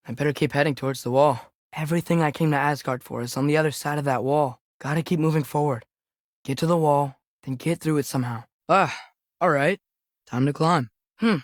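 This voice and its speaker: A man's voice: a little high, no echo, studio quality, natural voice. A young male actor playing an earnest, restless teenage boy adventurer with an American accent in a video game. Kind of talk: video game character dialogue.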